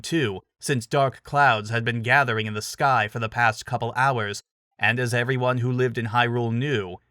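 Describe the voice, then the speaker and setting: A male narrator reads a dramatic fantasy story from a script. His voice sits at a middle pitch, and the sound is dry with no room echo. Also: bright, forceful tone.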